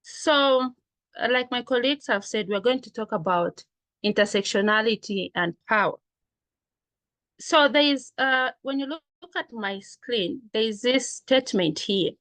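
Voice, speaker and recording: A woman's voice: a little high, expressive, unhurried, a bit bright, no echo. A woman from Zambia facilitating an online training session, engaging the group with questions. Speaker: workshop facilitator. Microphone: video call.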